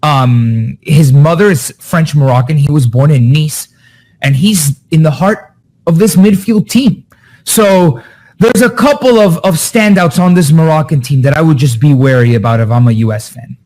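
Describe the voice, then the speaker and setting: A man analyzes soccer players in a casual podcast chat. His voice sits a little high and turns animated, with sudden rises when he gets excited. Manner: animated, quick pitch jumps when excited.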